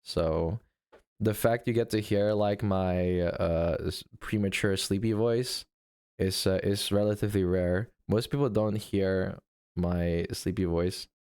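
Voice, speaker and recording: A man's voice: low-pitched, steady tone, unhurried, a little mellow, clear, resonant tone. A man live-streaming, chatting casually with his viewers, thanking subscribers and rambling with laughs and restarts. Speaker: livestreamer.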